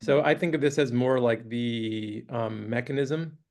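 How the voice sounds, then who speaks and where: A man giving a relaxed university lecture, thinking aloud with many pauses, clearing his throat as he loses his voice. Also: mid-range voice. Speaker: guest lecturer. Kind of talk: academic lecture with questions. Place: university classroom.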